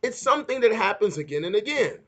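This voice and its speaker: Guy putting on a curious little school kid from a picture book, eager, voice up high and bouncy. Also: big pitch swings, fast talker, pushed and bright, a little hiss or hum, heavily acted. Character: curious, eager young schoolchildren answering in class.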